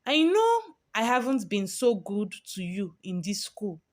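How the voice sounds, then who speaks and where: A woman's voice: mid-range voice, big pitch swings, a little slow. A woman voicing a bullying teenage senior prefect, domineering and sly, in a story told aloud. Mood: sly, coaxing. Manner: barking, commanding, turning sickly sweet.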